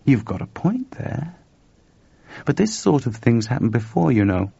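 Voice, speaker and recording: A man's voice: medium pitch, very animated, unhurried, vocal fry, dark tone, husky, no echo, voice pushed up, exaggerated voice. A man voicing a weary, skeptical male engineer in a story reading, recorded dull and dry.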